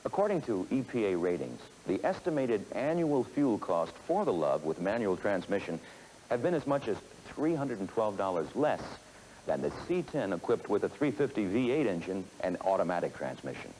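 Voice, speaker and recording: A man giving scripted narration for a sales training film, in a measured, instructive manner, on a 1970s film soundtrack. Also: fairly low pitch, sing-song, warm tone, a little hiss or hum, low-quality recording.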